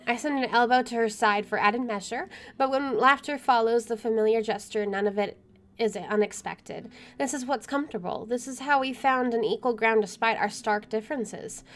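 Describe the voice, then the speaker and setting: A woman's voice: a little high, moderate pace. A woman streaming a game, reading visual novel narration aloud and adding casual asides. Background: soft music.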